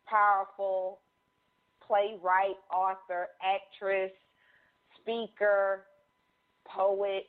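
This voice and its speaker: A woman's voice: mid-range voice, flat delivery, very slow, pauses often. A woman hosting a conference call, chatting in an upbeat, encouraging way. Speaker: host. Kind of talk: casual conference-call chat. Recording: tinny phone line.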